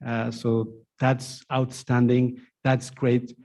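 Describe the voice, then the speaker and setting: A middle-aged man from Afghanistan speaks before a city council about his resettlement. His pitch stays flat and level. He halts often, leaning on "uh" between phrases. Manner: halting, many filler pauses. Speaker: refugee case manager. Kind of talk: public testimony at a council meeting.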